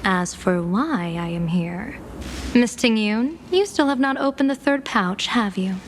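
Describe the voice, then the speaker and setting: A woman's voice: medium pitch, lively intonation, a little slow, a little hiss or hum, close to an everyday voice. A woman voicing a playful, scheming female general who is a seer, teasing and laughing often in a game cutscene.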